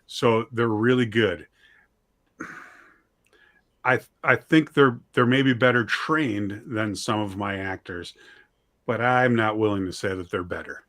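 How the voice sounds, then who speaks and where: A man's voice: lowish voice, takes it slowly, pauses often, a bit bright. A man talking casually as an interview guest, plain-spoken and self-deprecating, emphatic about what he believes. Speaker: guest, audio drama writer and director.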